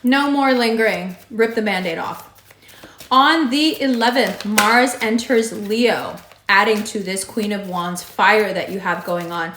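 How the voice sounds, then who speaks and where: A woman with a raspy voice giving a monthly horoscope reading, direct and emphatic, repeating her advice. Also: medium pitch, measured pace, fairly bright tone, a little hiss or hum. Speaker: astrology reader. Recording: echoey room.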